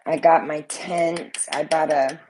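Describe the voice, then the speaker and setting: A woman's voice: low-pitched, steady tone, takes it slowly, heavy background noise. Chatty congested woman. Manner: rambling, talkative, swears casually. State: congested, slight cold. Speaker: vlogger, soap maker. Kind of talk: casual chat to camera. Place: at home, living room in view.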